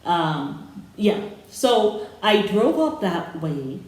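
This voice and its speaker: A woman from Sierra Leone with a West African accent, chatting casually as a podcast co-host. She tells stories in an animated way and acts out the voices of the people in them. Her voice sits at a middle pitch, and it rings in a very echoey room. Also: drawn-out words. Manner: animated storyteller acting out quoted voices. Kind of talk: casual storytelling chat.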